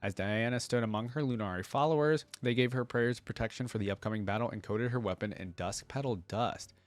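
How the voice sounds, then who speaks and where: A man hosting a casual reaction video, reading text aloud and thinking out loud with offhand asides. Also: medium pitch, a little fast, no room sound.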